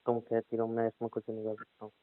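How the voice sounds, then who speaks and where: A man's voice: on the low side, completely flat delivery, fast talker, dry recording, natural voice. A man playing an evasive, bossy husband, cajoling and repeating himself in a naturalistic audio drama argument. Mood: stubborn. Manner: cajoling, repetitive, stubborn. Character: evasive, bossy husband stubbornly putting off his wife. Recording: tinny, dry phone-call sound.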